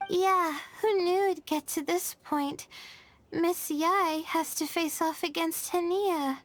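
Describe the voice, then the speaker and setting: A woman's voice: very high voice, steady tone, slow, slight background noise. A woman voicing a sweet, eager young girl in an anime video game, girlish and encouraging. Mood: nervous excitement. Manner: earnest, encouraging. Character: young girl mushroom trainer, sweet, eager, good sport. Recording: loud music behind the voice.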